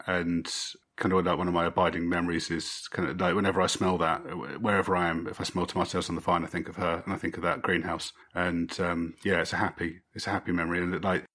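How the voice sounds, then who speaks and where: Guy, deep voice, soft reflective chat on a podcast. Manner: gentle, reflective. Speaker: podcast co-host.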